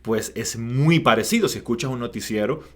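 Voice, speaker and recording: Measured male interviewer, mid-pitched. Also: a bit bright. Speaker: host, interviewer. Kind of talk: interview questions and explanation.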